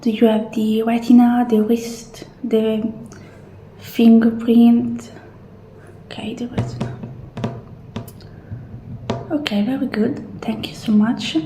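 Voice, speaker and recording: A woman playing a calm, polite futuristic clinic receptionist in a soft-spoken, gentle, unhurried ASMR roleplay. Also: a little high, roomy sound, slight background noise, low-quality recording. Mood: calm, procedural. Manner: gentle, unhurried, reassuring customer-service talk.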